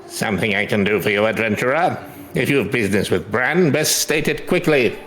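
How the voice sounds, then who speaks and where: A man voicing a brisk, self-important newspaper reporter in an old-timey radio style. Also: on the low side, expressive, fairly bright tone, roomy sound. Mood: brisk, busy.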